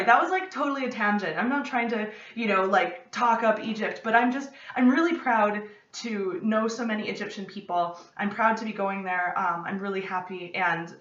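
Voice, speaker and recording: A young American woman hosting her podcast, chatting excitedly to camera from her home study and rambling into asides. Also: medium pitch. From United States. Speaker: podcast host. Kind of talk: casual chat to camera. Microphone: camera at desk distance. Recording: roomy, slightly distant, dull lo-fi.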